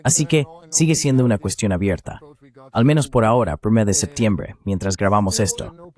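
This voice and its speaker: A man's voice: fairly low pitch, brisk, darkish tone, close to an everyday voice. A man giving a translated voice-over of an interview guest's political analysis.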